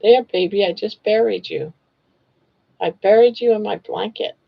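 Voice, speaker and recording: A woman's voice: mid-range voice, normal speed, creaky, slightly rough, lo-fi sound. A woman in her sixties hosting a casual live stream, chatting and joking and talking sweetly to her pets. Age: 60s. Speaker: livestream host.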